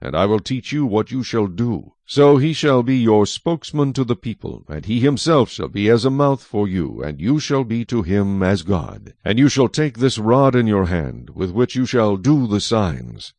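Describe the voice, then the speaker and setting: Solemn, authoritative God for an audio Bible drama: lowish voice, measured delivery, very dry recording. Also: male voice, sing-song, darkish tone, no echo. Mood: stern. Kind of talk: dramatized scripture reading. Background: faint music.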